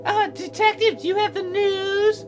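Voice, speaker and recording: A man voicing a grieving, tearful woman in a plaintive, halting falsetto for a visual novel game. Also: soft background music. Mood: tearful, anxious. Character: grieving, tearful wife answering a detective.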